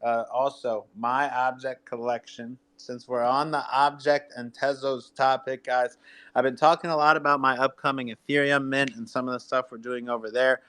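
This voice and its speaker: Hyped man pitching to his listeners. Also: flat delivery, normal speed, a bit bright, clean tone. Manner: hyped, salesy, addressing listeners as guys. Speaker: music artist and radio host. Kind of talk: promotional livestream pitch.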